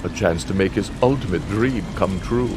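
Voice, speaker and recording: An actor voicing a sinister, gloating contest master, narrating a video game in a dramatic, drawn-out way. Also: male voice, low voice, background noise, lightly acted. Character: sinister, gloating male host of a deadly car contest. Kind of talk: theatrical scripted game narration. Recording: loud music behind the voice.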